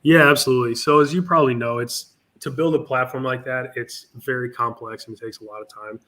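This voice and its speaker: A man's voice: medium pitch, fairly even intonation, fairly quick, pushed and bright. A man speaking for his project in a casual interview over a video call, confident and promotional, with frequent fillers. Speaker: project team guest.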